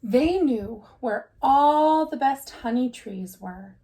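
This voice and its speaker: A woman narrates a children's storybook in the style of a teacher reading aloud. Her voice sits fairly high. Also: expressive, slow, warm tone.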